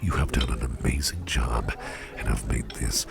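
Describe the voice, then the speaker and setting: Man voicing a devilish boss, cavernously deep and gravelly, effusive soft whispers, party crowd behind. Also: expressive, moderate pace, full character voice. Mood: gracious. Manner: effusive, softly whispered praise. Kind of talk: ASMR roleplay.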